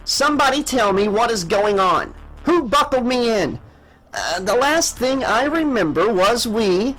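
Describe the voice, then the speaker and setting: A man's voice: very high voice, big pitch swings, measured pace, a bit bright, faint music behind, pitched above the performer's own voice, exaggerated voice, rough recording. A man voicing a demanding, profane, frantic female starship boss and mother in an audiobook story. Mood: bewildered.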